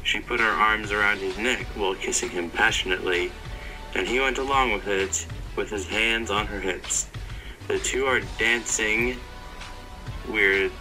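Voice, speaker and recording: A man's voice: fairly low pitch, a little slow, music in the background, low-quality recording. A young adult man co-reading fan fiction in a joking dramatic style. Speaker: co-reader.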